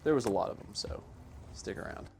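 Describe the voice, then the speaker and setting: A man giving a casual, self-deprecating voice-over commentary on his own amateur sports highlights in a matter-of-fact way. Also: brisk, a little mellow. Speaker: amateur disc golfer narrating own highlights.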